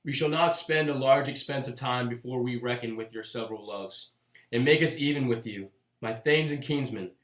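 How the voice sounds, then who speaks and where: A young man playing a gracious, newly victorious young king in a Shakespearean radio play, with formal, measured oratory. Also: medium pitch, close to an everyday voice. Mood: gracious, solemn. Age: young adult. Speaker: student actor. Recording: echoey room sound.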